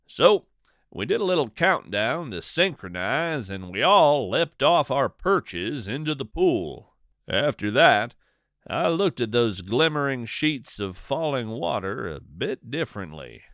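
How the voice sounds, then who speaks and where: A man playing an earnest, gently bemused naturalist podcast host, narrating fiction in a folksy, conversational way with deliberate pauses. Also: mid-range voice, clean studio sound, full character voice. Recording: echoey, roomy sound.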